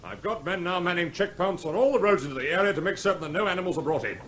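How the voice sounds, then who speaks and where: A curt police officer. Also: male voice, sits high, lively intonation, very fast, fairly bright tone. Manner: curt, matter-of-fact. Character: brusque male police officer.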